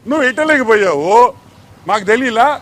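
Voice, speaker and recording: High-pitched sarcastic man. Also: lively intonation, moderate pace, noisy background. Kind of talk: mocking political commentary.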